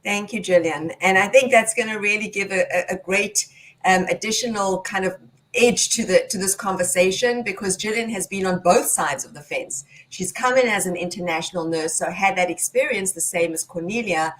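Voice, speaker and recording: A woman's voice: medium pitch, moderate pace, a bit bright, poor audio. A woman hosting and moderating a panel, introducing guests in an upbeat, friendly way. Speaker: talk show host.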